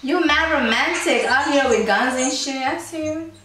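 A woman's voice: sits high, moderate pace, a bit bright. A woman in her twenties from Angola with an Angolan accent, teasing strangers on a video chat in a playful, bossy way. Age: 20s. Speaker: vlogger prank-calling strangers. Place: small indoor room, seated at desk. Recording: roomy echo from far off with faint music.

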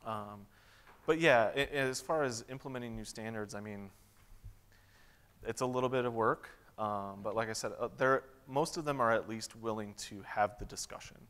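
A man in his thirties answering audience questions at a tech conference, relaxed, with lots of "um"s and restarts. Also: lowish voice, normal speed, clean tone. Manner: relaxed, many fillers and restarts. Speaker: conference keynote speaker. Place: large conference hall stage. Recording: long hall reverb.

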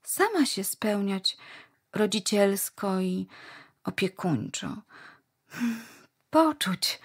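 A woman playing an eager, wistful young wife in a dystopian radio play, earnest and dreamy, with a slightly husky voice. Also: medium pitch.

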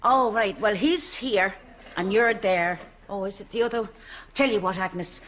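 A woman acts an older Irish neighbour in a studio sitcom, cheeky and matter-of-fact. The pitch is middling and the manner chummy, with an Irish accent. There is clear noise behind the voice. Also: measured pace, a bit bright, close to an everyday voice. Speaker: sitcom actress. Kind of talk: comic sitcom acting.

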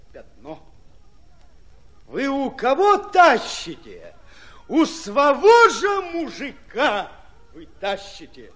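An actor playing a fiery, folksy Red Army commander in a radio drama, with plain peasant speech delivered forcefully. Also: male voice, sits high, full character voice.

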